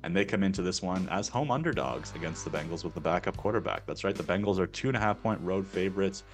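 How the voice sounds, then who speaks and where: A man's voice: deep voice, fast. A man from Canada giving conversational sports betting analysis as a guest oddsmaker. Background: faint music.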